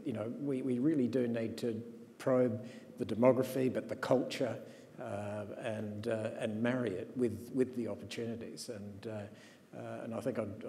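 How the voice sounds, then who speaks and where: A man's voice: fairly low pitch, dark tone. A man speaking on a conference panel, hesitant with frequent restarts and fillers, recorded on a stage in a large hall. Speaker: panelist demographer. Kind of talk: panel discussion. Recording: long hall reverb, faint room noise.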